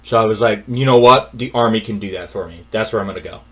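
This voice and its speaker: A young man telling a personal story in a casual rant, exasperated and repetitive, dropping to a mutter and then rising loud. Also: fairly low pitch, fast talker.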